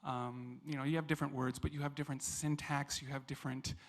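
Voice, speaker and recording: A singer with a classical and opera background, giving thoughtful, measured answers in an interview. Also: male voice, medium pitch, flat delivery. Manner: reflective, measured.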